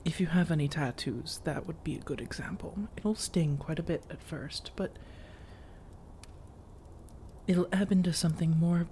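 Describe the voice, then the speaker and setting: Casting: a woman to play a seductive vampire lady for ASMR audio roleplay, warm low-lit timbre, soft-spoken, slow teasing pauses, whispery edges. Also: a little hiss or hum, close to an everyday voice. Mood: calm, reassuring. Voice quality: soft, breathy delivery slipping into whispers. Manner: teasing, measured, intimate, with deliberate pauses. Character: flirtatious, coaxing female vampire seeking a roommate.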